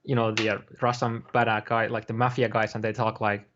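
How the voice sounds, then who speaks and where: A young man chatting casually on a live stream, halting and rambling with many fillers and restarts. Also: lowish voice, little pitch movement, dull audio, background noise. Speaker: guest streamer.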